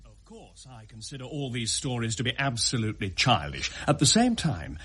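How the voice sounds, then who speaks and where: An actor playing a confident, sceptical gentleman host in a period audio drama, speaking eloquently and formally with a hearty, husky voice. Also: medium pitch, huge pitch swings, moderate pace, slight background noise. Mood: sceptical, determined.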